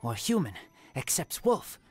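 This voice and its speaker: Low-pitched, feral teenage wolf boy. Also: very animated, measured pace, darkish tone. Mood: grave, earnest. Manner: broken, clipped short phrases. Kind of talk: video game voice acting.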